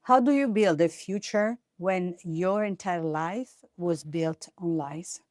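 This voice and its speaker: A woman's voice: on the low side, takes it slowly, a little mellow, no room sound, clean studio sound. A woman with an Italian accent, a native Italian speaker, hosting and narrating scripted true-crime stories in short, dramatic phrases. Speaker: host of a true-crime series.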